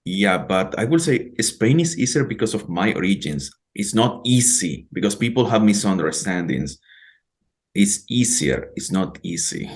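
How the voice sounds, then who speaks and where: A young man from El Salvador talks as a guest in a casual podcast interview. His voice is low, and his speech is measured. He often softens his points with "let's say" and "I would say". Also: animated.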